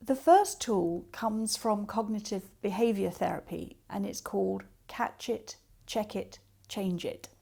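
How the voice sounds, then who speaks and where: A woman's voice: medium pitch, a little slow, vocal fry, hardly any pauses, dark tone. A woman speaking as a coach, giving practical advice step by step with illustrative examples. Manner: explains step by step with acted-out example remarks. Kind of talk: instructional talk with practical tips and examples.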